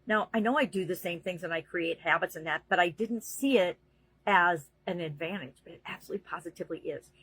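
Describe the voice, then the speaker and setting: A woman's voice: on the low side, a little fast, fairly bright tone, slight background noise, low-quality recording. A middle-aged woman talking casually in a daily motivational vlog as a business coach, earnest and conversational with frequent fillers.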